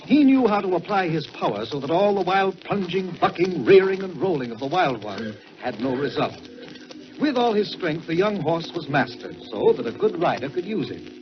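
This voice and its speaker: A man narrates in the grand manner of 1940s radio storytelling. His voice sits fairly high and swings widely in pitch, leaning on key words and pausing for effect. The recording is muffled and vintage. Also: very animated, background noise. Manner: theatrical, emphatic, deliberate pauses for effect. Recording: dull old-time radio recording with music.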